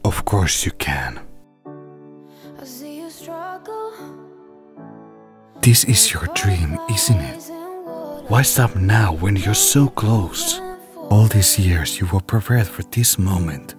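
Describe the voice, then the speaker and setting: Guy voicing super deep gentle lost love in a cartoon drama, slow soothing talk, music clearly behind him. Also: expressive. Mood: encouraging. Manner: soothing, reassuring. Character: tender lost male loved one, gentle and encouraging. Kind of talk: animated-series voice acting.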